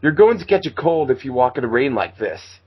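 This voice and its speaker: A man's voice: mid-range voice, big pitch swings, brisk, breathy edge, no room sound, muffled recording. A man voicing a shy, innocent, nervous teenage boy in an amateur fan fiction reading. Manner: hesitant, nervous.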